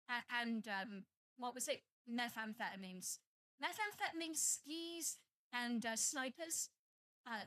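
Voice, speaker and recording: A woman's voice: on the high side, a bit bright, no room sound. A young British woman with a British accent, chatting casually and joking with viewers on a live stream, swearing freely. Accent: British English. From Britain, half Welsh and half English.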